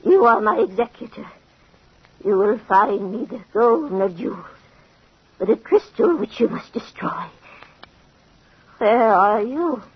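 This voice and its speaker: Husky, gasping dying fortune teller. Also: female voice, medium pitch, animated. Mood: desperate. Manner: halting, theatrical, moaning. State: weak and in pain. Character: dying fortune teller, big bitter scheming woman. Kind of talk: old-time radio drama acting. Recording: muffled old radio recording.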